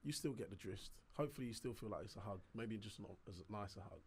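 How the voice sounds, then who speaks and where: A young man co-hosting a podcast, voice raspy and a bit hoarse, blunt and cocky. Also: lowish voice, fast. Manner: blunt and cocky, joking boasts. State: slightly hoarse. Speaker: podcast co-host. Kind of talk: casual chat.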